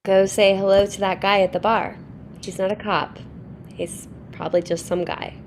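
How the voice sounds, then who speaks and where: Woman acting combative militant socialist gal, sardonic and argumentative, faint rumbly noise, play reading. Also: little pitch movement, moderate pace, lightly acted. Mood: encouraging. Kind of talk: live staged play reading.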